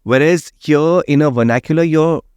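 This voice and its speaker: A man's voice: fairly high pitch, normal speed, darkish tone, clear, resonant tone, no room sound, muffled recording. A radio jockey, explaining his views at length in an interview and often checking in with "right?". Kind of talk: opinionated interview conversation.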